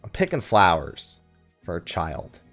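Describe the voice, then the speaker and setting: A man's voice: sits low, wildly swooping pitch, unhurried, a bit bright, faint music behind. A man hosting a gaming let's play, chatting casually in a rambling, joking way with mock exasperation and self-mockery. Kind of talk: casual chatty game commentary.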